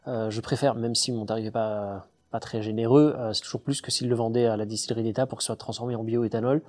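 A man, a distiller, answering interview questions in a relaxed, hesitant way, full of fillers and restarts. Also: medium pitch, fast. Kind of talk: casual interview talk.

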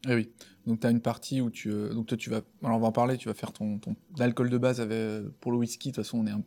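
A man interviewing a guest in a casual way, friendly and quick to agree. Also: medium pitch, level tone, dark tone.

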